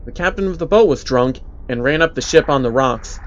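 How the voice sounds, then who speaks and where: A man's voice: mid-range voice, expressive, brisk. Man narrating a story, slightly dull audio. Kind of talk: scripted dramatic story narration. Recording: dull lo-fi audio under music.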